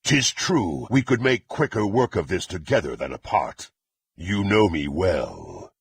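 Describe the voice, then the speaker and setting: Fantasy game villain warrior: low, heavily gravelled and husky, brutal and imperious, swinging widely in pitch. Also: male voice, sing-song, a little slow, full character voice. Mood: grim, brooding. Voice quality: gravelly, raspy. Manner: threatening, imperious, archaic formal diction. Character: brutal, menacing half-orc warrior serving a demonic patron. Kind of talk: fantasy video game character acting.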